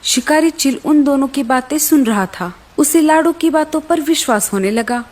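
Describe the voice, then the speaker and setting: A woman's voice: high voice, expressive, normal speed, a little mellow. A woman reading scripted narration for a children's animal story as the storyteller.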